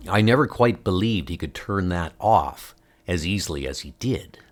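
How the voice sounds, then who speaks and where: A man voicing a grave, earnest older scientist hero in a comic book dub, explaining things deliberately. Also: sits low, expressive. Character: grave older male scientist hero hunting missing niece.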